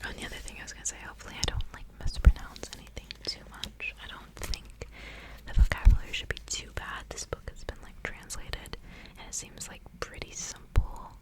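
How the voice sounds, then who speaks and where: A woman doing ASMR, speaking in a soft breathy whisper, chatting casually and reading aloud, often repeating words. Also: fairly quick, poor audio. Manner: repeats words and short phrases as triggers. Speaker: ASMR video creator and reader.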